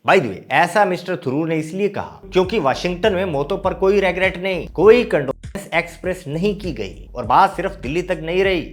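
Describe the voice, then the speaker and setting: A man with a slightly high voice hosts a satirical news roast. He mocks and scolds in slangy, punchy bursts and keeps up a sarcastic commentary throughout. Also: expressive, moderate pace, few pauses.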